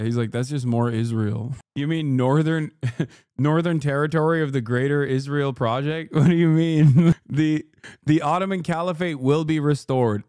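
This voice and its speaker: A man's voice: mid-range voice, a little mellow, clear, resonant tone, no room sound, studio quality. A man in his thirties, a streamer, ranting in heated, profane reaction commentary and laughing as he talks. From Turkey. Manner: laughs through his lines.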